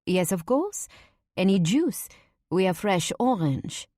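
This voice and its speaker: A woman's voice: medium pitch, big pitch swings, slow, dark tone, no echo, clean studio sound. Woman putting on a sweet French waitress, lifted voice. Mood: sweet, welcoming. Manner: sweet, polite.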